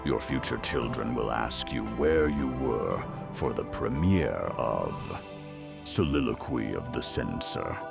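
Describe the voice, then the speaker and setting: A man voicing a grandiose, solemn movie trailer announcer with a gravelly voice and pompous, melodramatic delivery. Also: rumbling low, animated, slow pace, over loud music, heavily acted. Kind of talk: melodramatic film trailer narration.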